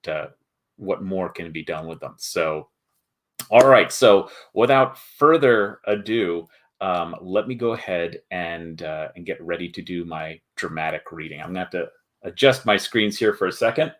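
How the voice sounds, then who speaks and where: Man, low-pitched, casual stream host, halting explanatory delivery, thinking aloud. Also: a bit bright. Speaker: host, board game video maker. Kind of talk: casual livestream presentation.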